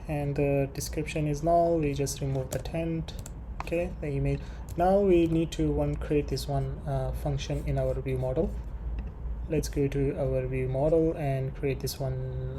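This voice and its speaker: A programming tutorial presenter talking through code unscripted, haltingly thinking aloud while working. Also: mid-range voice. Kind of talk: unscripted screen-share coding tutorial. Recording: clear background noise with keyboard typing.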